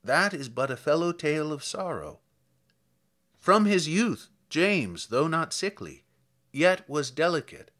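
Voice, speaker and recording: A man performs a kindly old village priest in a dramatic poetry reading. The voice is fairly high and bright, with an animated melody. The telling is measured, solemn and full of sorrow. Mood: sorrowful.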